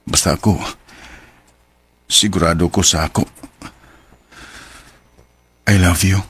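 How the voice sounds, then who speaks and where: Low-voiced, forthright young Cebuano man. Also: fairly even intonation, takes it slowly, a bit bright, husky, full character voice. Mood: confessing, earnest. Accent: Filipino, Cebuano-speaking. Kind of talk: radio drama acting. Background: background music.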